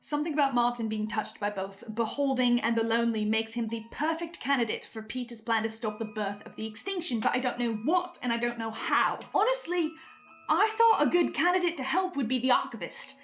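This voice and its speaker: A woman hosting earnest theory commentary, speaking deliberately with dramatic pauses and stammered repeats. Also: fairly high pitch, animated. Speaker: host presenting theories on a horror podcast.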